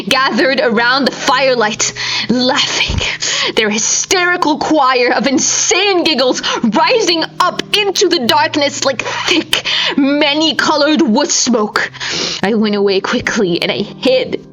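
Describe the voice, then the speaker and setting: Female narrator for horror fiction: high voice, slow delivery, intensely theatrical, music underneath. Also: a bit bright. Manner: intense, theatrical, swinging between whispers, cries and anguished outbursts. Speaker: audiobook narrator. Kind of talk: dramatic first-person horror story reading.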